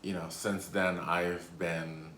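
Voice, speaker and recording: A man's voice: sits low, little pitch movement, slow, pushed and bright. A man in his thirties from Rhode Island, telling a casual, rambling storytime with many hesitations. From Rhode Island, USA. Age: 30s. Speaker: YouTuber. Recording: echoey room, faint background noise.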